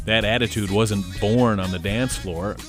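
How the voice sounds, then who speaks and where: A man narrating a scripted TV news feature in a lively storytelling way. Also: lowish voice, unhurried. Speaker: reporter, narrator. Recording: voice-over over music.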